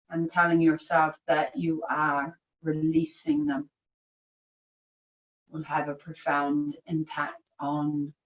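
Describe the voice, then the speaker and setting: Female yoga nidra teacher, lowish voice, soothing guided meditation, very unhurried delivery, recorded in an echoey room. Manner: soothing, unhurried, long pauses. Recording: echoey room, distant microphone.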